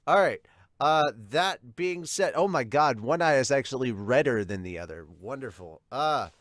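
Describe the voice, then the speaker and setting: A man's voice: mid-range voice, expressive, normal speed, fairly bright tone, no room sound, studio quality. A man co-hosting an anime fan podcast, talking casually and bluntly in a rambling, sometimes sweary way to viewers. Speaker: co-host of an anime and manga podcast.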